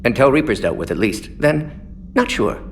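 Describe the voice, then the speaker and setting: An actor playing an aging alien scientist in a video game, clinical and unapologetic, speaking in clipped, telegraphic phrases. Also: male voice, medium pitch, big pitch swings, moderate pace, a little hiss or hum. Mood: reflective. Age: older. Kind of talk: video game character dialogue.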